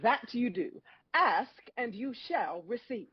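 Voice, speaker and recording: Older woman acting as female God, husky, lively swooping delivery, folksy, wry, unflappable, dry dull recording. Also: on the high side, slow pace, dry recording, full character voice. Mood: obliging, wry. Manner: wry, matter-of-fact, theatrical. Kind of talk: live table read acting.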